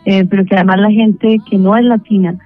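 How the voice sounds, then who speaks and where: A woman's voice: medium pitch, flat delivery, brisk, no room sound. Colombian woman on a tinny phone line. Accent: Colombian, Bogotá. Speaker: guest singer. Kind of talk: phone interview.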